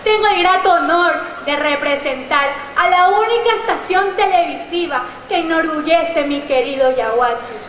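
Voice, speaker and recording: A twenty-two-year-old woman introducing herself deliberately as a pageant contestant at a stand microphone on a night stage. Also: very high voice, slight background noise. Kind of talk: formal self-introduction on stage. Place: large outdoor stage at night. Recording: echoey venue over loudspeakers.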